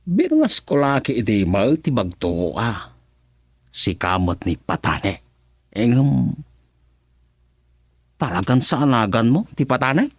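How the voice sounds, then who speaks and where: Swooping, sheepish Ilocano village suitor. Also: male voice, mid-range voice, very animated, slow, long pauses, breathy edge, no echo, clean studio sound, heavily acted. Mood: playful boasting. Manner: exaggerated sweet-talk, stammering when flustered. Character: flirtatious, sheepish comic village farmer suitor. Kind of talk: Filipino radio comedy drama acting.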